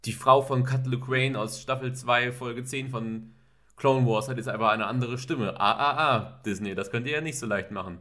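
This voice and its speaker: A man's voice: mid-range voice, moderate pace, sharp tone. A man co-hosting a podcast, recounting scenes in detail in a casual chat. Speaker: podcast co-host. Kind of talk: casual episode discussion.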